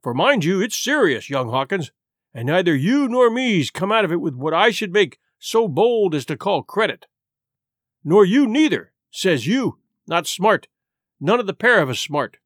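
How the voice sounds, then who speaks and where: A man's voice: sits high, very animated, normal speed, a bit bright, no room sound, clean studio sound, exaggerated voice. A man voicing a hearty, jovial old male sea cook in a storybook reading, full of salty sailor slang.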